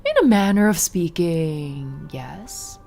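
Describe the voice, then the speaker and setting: A woman voicing a cold, haughty female vampire noblewoman who speaks slowly and with arch formality. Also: lowish voice, sing-song, gravelly, mellow, soft background music, pitched below the performer's own voice, heavily acted. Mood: silky. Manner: slow, purring, archly formal.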